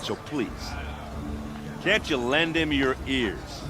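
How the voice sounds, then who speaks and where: An actor playing a blunt, earnest envoy, speaking plainly and emphatically to persuade a crowd in a fantasy game. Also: male voice, mid-range voice, expressive, slow pace. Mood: earnest, pleading. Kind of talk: impassioned speech in a fantasy game. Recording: game music behind the voice.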